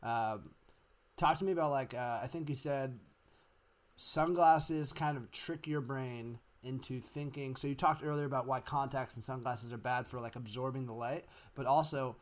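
A man's voice: medium pitch, fairly even intonation, moderate pace. A man hosting a podcast in a loose, casual way, filling his speech with "like" and "you know.". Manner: casual, filler-heavy talk with lots of "like". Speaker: podcast host. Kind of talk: casual conversation.

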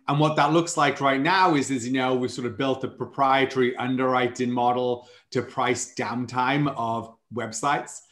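A man's voice: medium pitch, a bit bright. Welsh man explaining eagerly, echoey room. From Wales. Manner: upbeat, fluent, explanatory. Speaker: startup founder guest. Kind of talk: casual interview chat. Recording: roomy echo.

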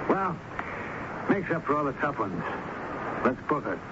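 An actor playing a brisk, decisive police inspector in a 1970s radio mystery, blunt and authoritative. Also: male voice, fairly high pitch, very animated. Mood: confident, businesslike. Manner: blunt, matter-of-fact, authoritative. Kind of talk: 1970s radio drama acting. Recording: very noisy, dull 1970s radio broadcast.

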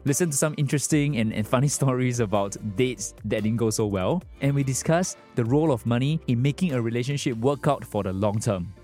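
A man's voice: mid-range voice, a little fast, few pauses, warm tone, faint music behind. A man, reading an upbeat scripted introduction as a podcast host.

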